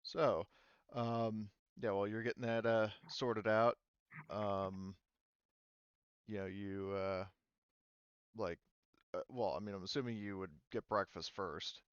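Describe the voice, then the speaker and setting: Halting man running a tabletop game. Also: lowish voice, steady tone, clear, resonant tone, no room sound, highs cut off. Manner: explanatory, halting. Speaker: game master. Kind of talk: narrating an online tabletop roleplaying game.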